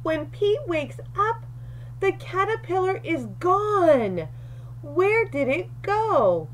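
A woman reading a children's picture book aloud in an expressive, deliberate storyteller's manner. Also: very high-pitched, slight background noise, poor audio. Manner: animated, deliberate, with questions and asides to young listeners.

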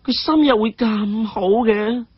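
A man's voice: high-pitched, a little slow, slightly rough, dry recording, dull audio, voice pushed up. A man voicing a bashful young woman in a story, hesitant and coyly scolding.

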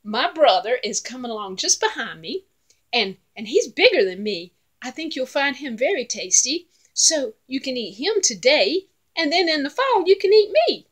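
A woman doing a squeaky little billy goat. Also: very animated, normal speed. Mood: wheedling. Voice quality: high squeaky voice. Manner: pleading, wheedling. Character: tiny timid young male goat, clever.

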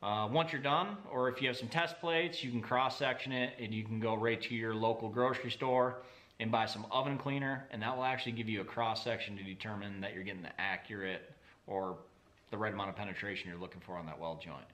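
Bright, forceful man teaching casually. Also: on the low side, brisk. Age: 30s. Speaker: welding instructor, video host. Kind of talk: informal instructional talk.

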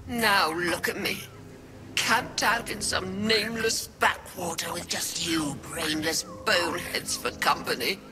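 Video game villain voiced by a woman: undead pirate captain, bitter, boastful, menacing, very unhurried theatrical delivery. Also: on the low side, natural voice. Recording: game music behind.

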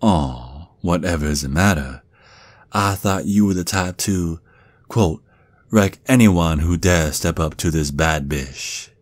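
A man's voice: sits low, takes it slowly, dry recording. A man playing a menacing, mocking, protective werewolf father with a Southern US accent, drawling and taunting in an ASMR roleplay. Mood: cruel, gloating. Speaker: audio roleplay performer.

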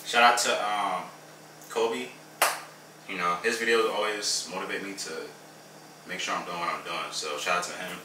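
A young man talks in a relaxed, slangy way to his vlog viewers, his lowish voice edged with brightness. The camera sits a little away from him. The room around him rings. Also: normal speed, long pauses, recorded from a distance, very noisy. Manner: laid-back, chatty, slangy. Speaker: fitness vlogger. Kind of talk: casual vlog chat. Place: home kitchen and outdoor field. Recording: roomy sound from off mic.

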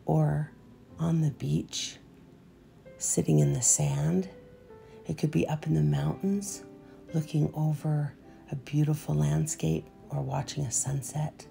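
A woman leading a guided meditation in a soft, soothing, unhurried voice that turns breathy at the ends of phrases. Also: low voice. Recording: meditation music behind voice. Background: soft meditation music.

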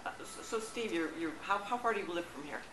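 A woman reporter asking rapid questions at a press conference, with restarts. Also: fairly low pitch, lively intonation. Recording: roomy echo with room noise.

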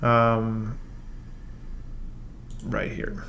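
A man in his forties teaching a software tutorial in a relaxed, step-by-step way, with frequent ums. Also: fairly low pitch, very slow, vocal fry, background noise. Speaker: software trainer. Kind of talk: casual screen-share tutorial. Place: room with a brick wall and whiteboard, then voice-over of screen recording.

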